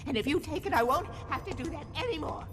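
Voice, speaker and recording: A woman's voice: high-pitched, expressive, quick pace. Eccentric old woman with a swooping voice. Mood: weary, fussy. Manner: odd, fussy, rambling. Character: eccentric, obsessive, weary old female keeper of a lit room. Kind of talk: video game cutscene acting. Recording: loud ambient noise behind.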